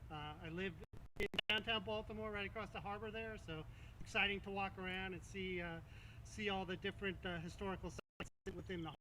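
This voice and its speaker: A man from New York State speaking as a Coast Guard captain at a ceremony, semi-formal and halting, with pauses. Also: sits high, fairly even intonation, noisy background. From East Hampton, New York. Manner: halting, frequent pauses and throat clearing.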